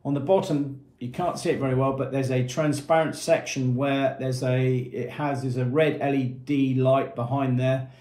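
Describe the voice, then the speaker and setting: A man's voice: medium pitch, steady tone, moderate pace, hardly any pauses, dark tone. A man in his fifties giving a plain, methodical product walkthrough in short phrases, as an informal presenter. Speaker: product presenter for a marine equipment retailer. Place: small indoor room with painted block walls, seated at a table. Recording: echoey small room.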